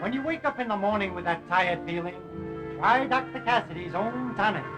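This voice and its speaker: A man's voice: sits high, lively intonation, brisk, low-quality recording. An actor playing a brash young radio showman and dance teacher, talking in breezy salesman patter in an old film. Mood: salesy. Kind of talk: 1930s film acting. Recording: old film soundtrack with music.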